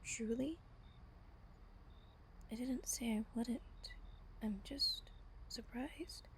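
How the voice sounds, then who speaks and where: A woman playing a gentle, wistful female vampire, telling a bedtime story tenderly and intimately in a breathy, whispery, soft-spoken roleplay style. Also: on the high side, extremely slow, noisy background. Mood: tender, soothing. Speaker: voice actor in roleplay audio.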